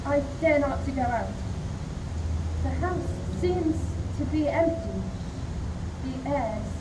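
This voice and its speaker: A woman voicing a fragile young lady gripped by fear, her high voice rising and falling. The recording is echoey, with steady wind behind. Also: slow. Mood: terrified. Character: Lucy, frightened young woman, fragile and anxious. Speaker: community theatre actor. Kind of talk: live 1930s-style radio play reading. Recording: echoey outdoor stage with wind noise.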